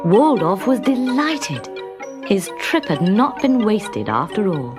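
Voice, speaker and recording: A woman narrating a scripted children's story in a dramatic, expressive manner. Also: medium pitch, slow pace. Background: music.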